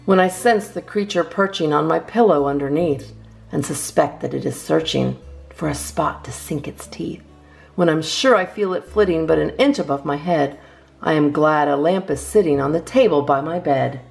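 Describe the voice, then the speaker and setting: A woman with a low, creaky voice reads children's verse aloud as a storytime host. Her phrasing is measured and expressive, and soft guitar music plays behind her. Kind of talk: read-aloud of children's poems.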